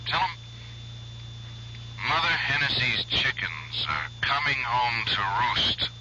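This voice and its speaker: An actor in a 1970s radio drama playing a menacing anonymous male caller who speaks slowly and coldly, half in a whisper. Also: big pitch swings, sharp tone, slight background noise, exaggerated voice, rough recording. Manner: slow, cold, low, half-whispered.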